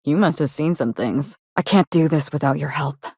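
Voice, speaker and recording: A woman playing a guarded, world-weary actress in a video game, speaking in a measured, dry, wry way. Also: sits low, darkish tone, breathy edge, no echo. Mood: grave, regretful. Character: guarded, world-weary actress investigating her sister's murder. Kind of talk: scripted game dialogue.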